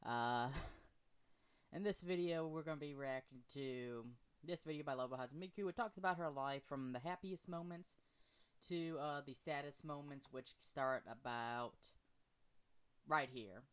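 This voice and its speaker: Smooth-voiced joking man hosting a reaction. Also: on the high side, measured pace, fairly bright tone. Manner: joking, rambling. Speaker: reaction video host. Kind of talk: casual reaction commentary.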